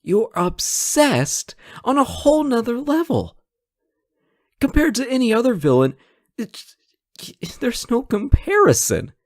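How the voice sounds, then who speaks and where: A man's voice: extremely high pitch, sing-song, a little slow, no echo, clean studio sound, exaggerated voice. Guy acting as a sassy male hostage in a superhero audio roleplay, swoops around a lot, takes his time, teasing. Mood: exasperated, teasing. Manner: teasing, theatrical, conversational. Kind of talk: scripted roleplay audio drama, one side of a conversation.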